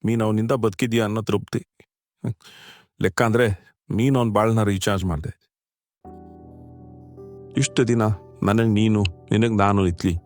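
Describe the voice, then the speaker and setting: Man acting kindly older husband, low voice. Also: steady tone, normal speed, long pauses, a little mellow, no echo, soft background music, natural voice. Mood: grieving, tender. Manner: fatherly, earnest, colloquial. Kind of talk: dramatic dialogue in a cartoon short story.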